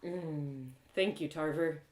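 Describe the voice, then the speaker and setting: A woman voicing a plucky, polite young heroine, wry and expressive, in a storybook reading. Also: low-pitched, slow, roomy sound, voice pushed down. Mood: polite, grateful.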